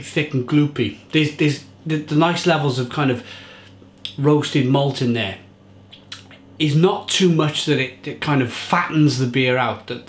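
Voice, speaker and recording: A man's voice: mid-range voice, echoey room, a little hiss or hum. A man in his thirties chatting to camera at home in his kitchen, reviewing a beer with enthusiasm. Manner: chatty, enthusiastic, restarts and fillers. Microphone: fixed camera at table.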